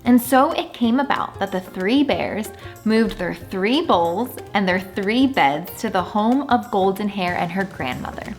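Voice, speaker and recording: Woman doing a kids read aloud, animated storyteller, draws words out, mid pitch, soft music behind. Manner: animated, deliberate storytelling with drawn-out emphasis. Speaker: children's story reader and channel host.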